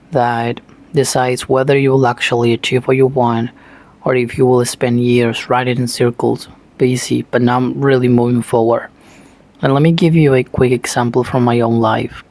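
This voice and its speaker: A man's voice: mid-range voice, little pitch movement, normal speed, darkish tone, no room sound. A man from Argentina with an Argentine Spanish accent, a native Spanish speaker giving an earnest motivational talk in English, full of "you know" fillers. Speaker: self-taught English learner, channel host.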